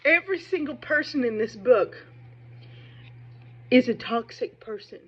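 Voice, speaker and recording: A woman's voice: a little high, animated, slightly rough. Muffled recording of an emphatic, opinionated woman. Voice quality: speech impediment, slows down on hard sh sounds. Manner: emphatic, digressive, conversational, asking "right?" and "okay?". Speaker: book commentator on own video channel. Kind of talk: casual, opinionated literary commentary.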